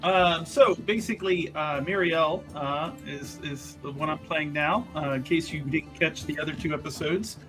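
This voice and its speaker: A man chatting casually as a tabletop game player in a halting, tongue-in-cheek manner. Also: on the high side, bright, forceful tone. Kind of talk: casual chat. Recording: music behind the voice. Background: music.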